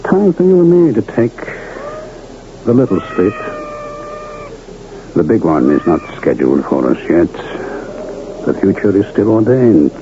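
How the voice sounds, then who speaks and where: A weary old mystic muses tenderly in a low, slow voice that rises and falls expressively. It sounds like a dull, noisy old radio drama. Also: male voice, sing-song. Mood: wistful musing. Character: weary, musing old mystic doctor talking to his cat. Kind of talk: 1970s radio drama acting.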